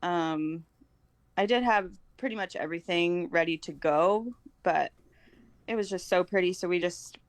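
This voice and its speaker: A woman's voice: fairly low pitch, measured pace, no echo. A woman speaking as a podcast guest in an informal, hesitant chat, often dropping "like" into her sentences. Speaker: podcast guest, homeschooling mother. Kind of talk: casual chat.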